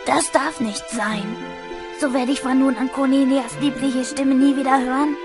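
An anguished young lover from a fantasy audio play , acted by a woman. The high voice declaims theatrically, with music playing behind it. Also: steady tone, moderate pace, lightly acted. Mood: solemn, grieving. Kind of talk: amateur audio drama acting.

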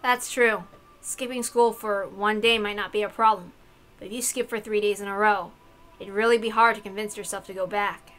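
A woman voicing a solemn, knowing witch in a visual novel, measured and didactic. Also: medium pitch, fairly even intonation, fairly bright tone, lightly acted, rough recording. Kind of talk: acted visual novel dialogue.

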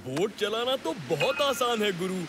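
A big, friendly, simple-minded young cartoon hero. The voice sits high and jumps around animatedly, eager and naive, with music running underneath. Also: male voice, big pitch swings, moderate pace. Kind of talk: animated children's cartoon acting.

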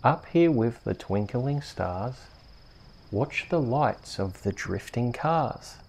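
An Australian man hosting and reading bedtime stories in a soft, soothing, unhurried ASMR storytelling manner. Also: medium pitch, animated, vocal fry. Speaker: host reading bedtime stories on a video channel.

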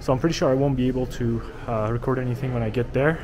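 Young male travel vlogger, casual and rambling, handheld camera outdoors, clear street noise. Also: mid-range voice, steady tone, fast. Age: young adult. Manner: rambling, lots of fillers and restarts. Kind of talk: casual walking vlog to camera. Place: outdoors on busy city streets. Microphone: action camera held at arm's length. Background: traffic and city ambience.

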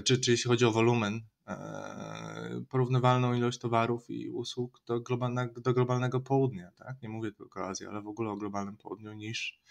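A man in his forties co-hosting a relaxed analytical chat over a video call from a room at home, steering toward the next topic. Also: mid-range voice, creaky. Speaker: co-host.

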